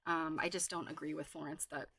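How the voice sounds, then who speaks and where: A woman's voice: low voice, little pitch movement, a little fast, vocal fry, a bit bright, dry recording. A woman hosting a casual livestream, chatting and reading aloud in an enthusiastic, exclaiming way. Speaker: livestream host.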